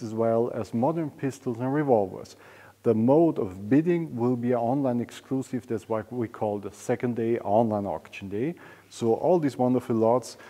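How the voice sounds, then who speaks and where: A man presents to camera in an enthusiastic, informal way. His voice is mellow and relaxed, at an average pitch. It rings with the long reverb of a large indoor hall. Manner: enthusiastic, informal, non-native English phrasing. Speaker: auction house presenter. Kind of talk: semi-scripted promotional explainer to camera. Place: large indoor auction hall and storage room.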